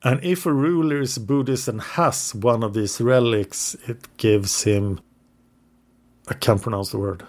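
English-speaking man, podcast co-host, mid-pitched, narrates history from notes with the odd hesitation. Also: unhurried. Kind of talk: casual history podcast storytelling.

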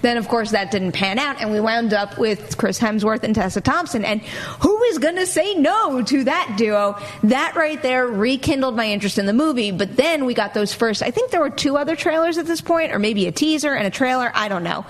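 A woman's voice: fairly high pitch, lively intonation, brisk. Woman hosting a movie talk show. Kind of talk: casual panel discussion.

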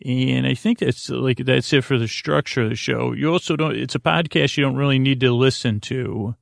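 A man hosting a bedtime podcast in a creaky voice, rambling soothingly and haltingly, often stammering and interrupting himself. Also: medium pitch, dry recording, studio quality. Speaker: host of a bedtime sleep podcast.

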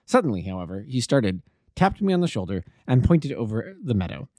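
Mellow male narrator with lively intonation. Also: mid-range voice, brisk, no room sound, clean studio sound. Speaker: amateur audiobook narrator. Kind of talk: scripted narration of a classic detective story.

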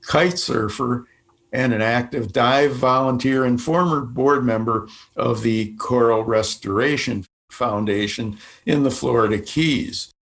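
A man with a voice of middling pitch hosts a podcast. He reads a measured introduction of his guest, drawing out some words, and then asks his guest questions. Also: a bit bright. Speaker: podcast host.

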